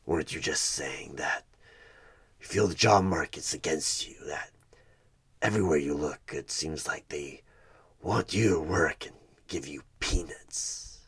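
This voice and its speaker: Very deep gravelly demonic tempter. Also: male voice, full character voice. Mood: quietly menacing. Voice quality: gravelly, breathy, half-whispered. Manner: slow, coaxing, menacingly calm, halting. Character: sinister demonic tempter, male, posing as job interviewer. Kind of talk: horror ASMR one-sided audio roleplay.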